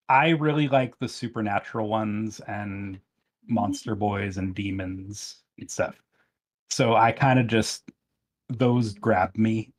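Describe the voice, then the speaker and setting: A man's voice: fairly low pitch, breathy edge. A man speaking casually as a voice actor guest on a podcast. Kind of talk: casual chat.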